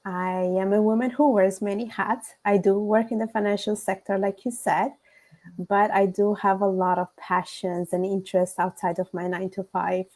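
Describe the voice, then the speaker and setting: Woman, Italian accent, mellow warm tone, earnest, steady, interview guest. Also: medium pitch, normal speed. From Italy. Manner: earnest, steady, with frequent fillers. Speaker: podcast guest. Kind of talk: interview conversation.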